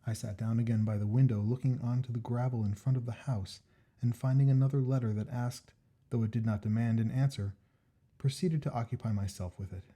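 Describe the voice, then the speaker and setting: A man reading a classic ghost story aloud as scripted narration, in a measured, expressive manner with deliberate phrasing. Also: mid-range voice, slightly rough. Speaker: story reader.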